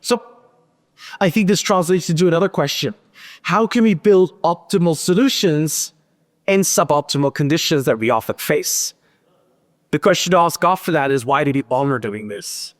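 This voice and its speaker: A man in his thirties giving a lively, joking tech conference talk from a large hall stage. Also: a little high. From Pakistan and Switzerland. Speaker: conference speaker. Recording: hall reverb.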